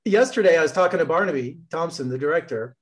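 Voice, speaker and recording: High-voiced American interviewer. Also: male voice, lively intonation, quick pace. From Las Vegas. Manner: friendly, rambling storyteller. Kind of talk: casual interview.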